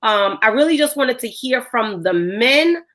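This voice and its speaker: A woman with a hoarse voice, hosting a podcast in a casual chat full of fillers. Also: a little high, lively intonation, measured pace, fairly bright tone. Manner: chatty, filler-heavy. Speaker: podcast host.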